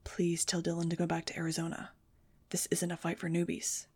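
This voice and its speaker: A woman voicing a guarded teenage boy, speaking low and clipped as he holds back his anger. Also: completely flat delivery, brisk, a little mellow, breathy edge. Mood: restrained. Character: guarded teenage winged boy, tense and restrained.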